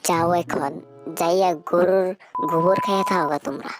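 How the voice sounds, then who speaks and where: A woman voicing a gruff, mocking older male vegetable vendor in a comic cartoon, curt and taunting, with a Bangladeshi accent. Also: lowish voice, normal speed, close to an everyday voice. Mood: mocking, dismissive. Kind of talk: amateur comic cartoon dubbing. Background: loud music.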